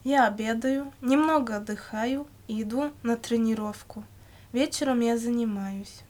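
A woman reading scripted language-course questions and answers in a measured, carefully enunciated way. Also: a little high, a little mellow. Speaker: language lesson reader.

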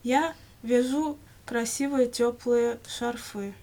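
A woman reading scripted answers for a language lesson in measured, complete sentences. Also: fairly high pitch, steady tone, a little mellow. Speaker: language-lesson model speaker answering questions. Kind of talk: scripted language-lesson dialogue, read aloud.

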